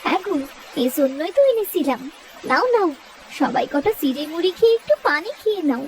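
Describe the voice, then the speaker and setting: Woman playing a high-voiced fretful village mother. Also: lively intonation, fairly quick, slight background noise, poor audio. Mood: concerned. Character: worried, nagging village wife and mother. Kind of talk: dramatic cartoon story dub. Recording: loud music under the voice.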